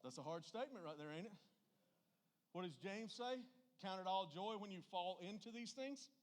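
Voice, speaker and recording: A middle-aged man preaches in a somewhat high voice. His delivery is informal and emphatic, with folksy turns and questions thrown out to the congregation. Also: animated, normal speed. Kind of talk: informal church sermon.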